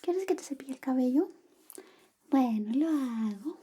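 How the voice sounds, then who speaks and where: Girl acting as a crushing best friend in asmr, soft whispery high voice, very mellow, coaxing and teasing. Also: animated, exaggerated voice. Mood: tender, playful. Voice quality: soft, breathy, mostly whispered. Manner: slow, coaxing, intimate, playfully teasing. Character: affectionate, teasing young female best friend secretly in love. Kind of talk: ASMR personal-attention roleplay.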